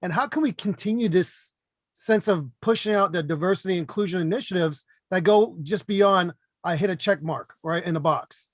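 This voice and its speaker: A man co-hosts an interview over a video call, with a slightly higher voice than most men. His sound is dry and close, and he phrases his questions in a measured, articulate way. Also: no room sound. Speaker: podcast co-host. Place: room with branded backdrop, indoors.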